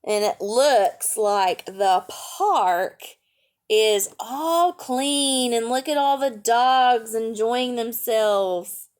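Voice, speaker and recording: A woman's voice: fairly high pitch, extremely slow. A woman reading a picture book aloud to young children, adding chatty asides, simple explanations and questions for the listeners. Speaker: storytime reader and teacher.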